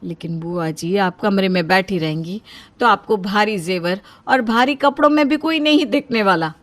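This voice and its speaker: A woman's voice: medium pitch, moderate pace, natural voice. A woman voicing a bossy, worried middle-aged mother who scolds and pleads in a cartoon story. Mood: exasperated.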